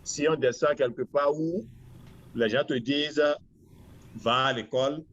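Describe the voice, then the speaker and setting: A man in his forties from Benin with a Beninese accent, answering interview questions in a halting, deliberate way. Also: medium pitch, a bit bright, highs cut off, a little hiss or hum. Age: 40s. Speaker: interview guest, lawyer.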